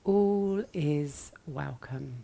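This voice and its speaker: A woman leading a guided meditation as a teacher, speaking gently and soothingly in short phrases with long pauses, her voice soft and at times breathy. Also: sits low. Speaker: yoga nidra meditation teacher.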